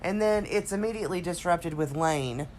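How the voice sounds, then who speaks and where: A woman with a very low, throaty voice, slightly stuffed up from a cold. She co-hosts a casual recap podcast and rambles along with pauses and hesitations. There is some steady noise behind her. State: recovering from a cold, slightly congested. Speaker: podcast co-host.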